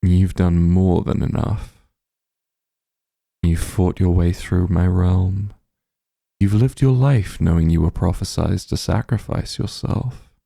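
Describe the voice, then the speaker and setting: Doting demon lord, played by a man, tender comforting ASMR roleplay, heavy vocal fry, close dry sound. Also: fairly even intonation, slow, no room sound, full character voice. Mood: soothing, comforting. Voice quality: low, gravelly, husky. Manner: soothing, tender, gently coaxing. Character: doting demon lord, shapeshifted into soft strong form.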